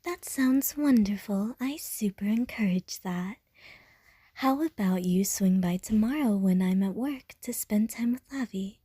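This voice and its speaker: A woman playing an anxious, gentle, doting young woman who fusses with stammering concern in an audio roleplay. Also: medium pitch, slightly rough, dry recording. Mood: eager, caring. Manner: fretful, stammering, rapid questions.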